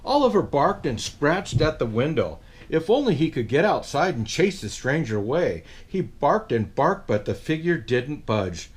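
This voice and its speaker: Animated man reading a picture book aloud. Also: on the high side, normal speed, few pauses. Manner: animated, with playful asides to young listeners. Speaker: storyteller in a Santa persona.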